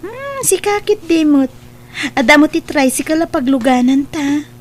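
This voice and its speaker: A woman's voice: high voice, expressive, moderate pace. A woman acting a sweet, affectionate young sweetheart in an Ilocano audio drama. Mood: cheerful.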